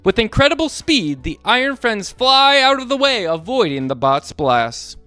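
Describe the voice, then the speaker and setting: A man reading a storybook aloud to children in a playful, animated way, asking them to join in. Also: extremely high pitch, normal speed, smooth, no echo, soft background music.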